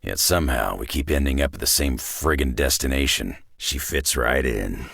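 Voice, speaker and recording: An actor playing a gruff, battle-hardened older male superhero in a video game, with a raspy growl and a sardonic, terse tough-guy delivery. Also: very deep voice, moderate pace, fairly bright tone, no room sound, clean studio sound, heavily acted. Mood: low, wry. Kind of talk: video game character voice lines.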